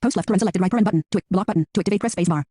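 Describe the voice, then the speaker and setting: Synthetic screen reader: robotic, flat announcements at breakneck speed, completely dry sound. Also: medium pitch, very fast, no room sound. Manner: flat robotic reading of interface labels.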